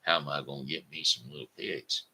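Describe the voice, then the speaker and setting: A man's voice: rumbling low, steady tone, brisk, pushed and bright, a little hiss or hum, pitched below the performer's own voice, heavily acted. A man voicing a hungry, sly big bad wolf in a storybook tale with a drawling, coaxing delivery. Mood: scheming.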